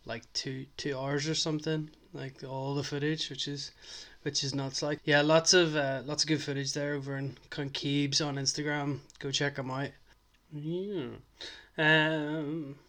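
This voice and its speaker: A man chats casually as a podcast host, rambling through his thoughts with plenty of "like" and "uh". His voice sits a touch high but stays flat in melody. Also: a little slow. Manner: rambling, filler-heavy, enthusiastic. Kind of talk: casual chat.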